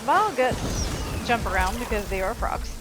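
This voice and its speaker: Casting: chatty female let's player, bright-pitched and swooping, mildly sweary, loud game soundtrack behind. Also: sing-song, moderate pace. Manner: chatty, casual with mild swearing. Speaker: gameplay commentator. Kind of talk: casual let's play commentary. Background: game music and action sounds.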